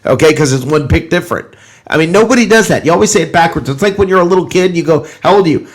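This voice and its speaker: A man's voice: on the high side, lively intonation, rapid-fire. A man hosting a casual football talk show, speaking in an opinionated way and driving his points home with repetition. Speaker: sports talk show host.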